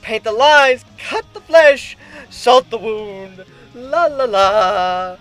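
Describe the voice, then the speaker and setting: A giddy, berserk griffin woman from a fantasy audio story, put on by a male reader. The voice is high and childlike, stretching words into a singsong with murderous innocence. Faint music runs behind it. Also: fairly bright tone, heavily acted. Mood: playful, menacing. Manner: singsong, drawn-out, deranged innocence.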